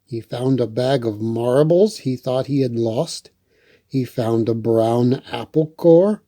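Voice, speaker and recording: Guy reading kids story, mellow and slow, bit higher voice, chats along folksy. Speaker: storyteller and host. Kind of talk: reading a children's picture book with casual asides.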